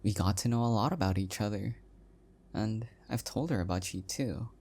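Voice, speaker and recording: A man playing an adorkable, self-deprecating young husband in an intimate one-sided audio roleplay, speaking softly and hesitantly with affection. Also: lowish voice, moderate pace. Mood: oblivious, fond.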